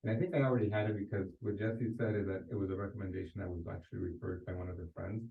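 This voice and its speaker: A man's voice: sits low, steady tone, very fast, creaky, slight background noise. A man telling anecdotes in a group coaching discussion, conversational, restarting his sentences and often checking for agreement. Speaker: real estate sales coach. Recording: distant, echoey, thin phone-like sound.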